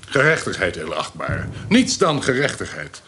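Self-righteous accuser for radio drama, formal and insistent, deepish voice with lively swings. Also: male voice, normal speed, noisy background. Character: vindictive, self-righteous male informer denouncing criminals.

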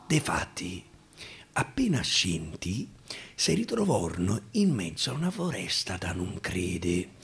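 A man with a Roman accent performing dramatic dialect verse storytelling, with deliberate repetitions and pauses, over guitar. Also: low-pitched, huge pitch swings, gravelly, fairly bright tone, slight background noise. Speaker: actor and storyteller. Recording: live guitar behind the voice.

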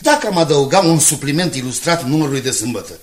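Actor as a deferential, higher-pitched newspaper staffer. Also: male voice, animated, lightly acted. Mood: earnest. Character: Hadley, deferential, talkative male newspaper staffer. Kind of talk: Romanian radio drama acting.

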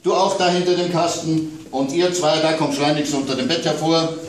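A man voicing a stern but patient father, firm and brisk as he gives orders. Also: mid-range voice, lively intonation, crowd noise, voice pushed up, close to an everyday voice. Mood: bustling. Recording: roomy, distant, faint room noise.

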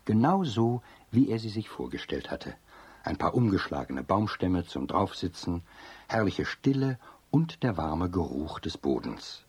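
A man's voice: low-pitched, sing-song, measured pace, a little mellow, slightly rough, dry recording, heavily acted. A man narrating a children's radio play as a storyteller. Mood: storytelling.

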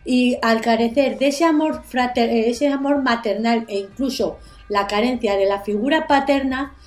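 A woman's voice: fairly high pitch, normal speed, faint music behind. A woman presenting her segment on a radio show in a conversational storytelling style.

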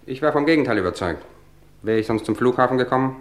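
A man's voice: mid-range voice, expressive, natural voice. Actor as suave, mocking male poisoner. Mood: coolly boastful. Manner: suave, mocking, measured, theatrically polite. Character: smug, cultivated male poisoner, coolly taunting police. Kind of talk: vintage German radio crime drama acting.

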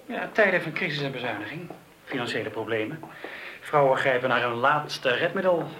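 An actor playing a cynical, wisecracking police detective in a radio play, speaking in a flippant, offhand, sardonic manner. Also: male voice, mid-range voice, expressive, brisk, pushed and bright, slight background noise, rough recording. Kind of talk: naturalistic radio play acting.

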